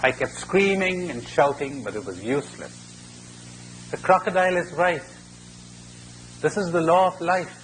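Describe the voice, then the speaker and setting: A man's voice: on the high side, normal speed, long pauses, a bit bright, a little hiss or hum, pitched above the performer's own voice, natural voice, poor audio. A man voicing a grieving, helpless parent bird in a spoken fable, lamenting with emphasis. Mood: anguished.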